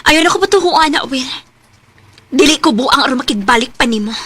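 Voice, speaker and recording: A proud, wronged young mother in a radio drama. Her Filipino-accented voice is very high and bright, and she delivers everything with open, dramatic emotion. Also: lively intonation, fairly quick. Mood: bitter, scornful. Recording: noisy radio drama recording.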